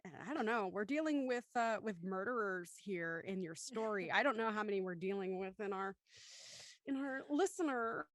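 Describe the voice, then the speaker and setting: A woman's voice: mid-range voice, animated, normal speed, dry recording, studio quality. A woman hosting a casual panel chat, introducing and steering the talk. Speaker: host.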